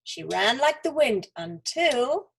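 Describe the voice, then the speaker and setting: A woman's voice: a little high, very animated, slow, vocal fry, breathy edge. A woman reading a children's story aloud as a storyteller. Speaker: school storyteller.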